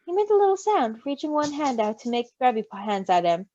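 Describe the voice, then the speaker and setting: Female storyteller, expressive and swooping, very high register, breaks into casual giggly asides. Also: fairly quick, lo-fi sound. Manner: expressive, giggly, interrupts herself to react. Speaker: story reader and co-host.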